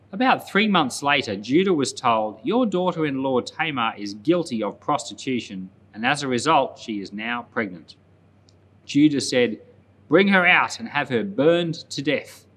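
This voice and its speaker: A man speaking as a pastor, reading and explaining scripture aloud in a measured, plain-spoken way. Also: mid-range voice, fairly bright tone, husky. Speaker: pastor, Bible podcast host.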